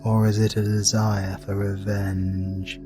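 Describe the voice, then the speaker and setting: A man playing a haughty, cold demon king with a deliberate, disdainful delivery in a fantasy audio drama with music. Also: on the low side, completely flat delivery. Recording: music behind the voice.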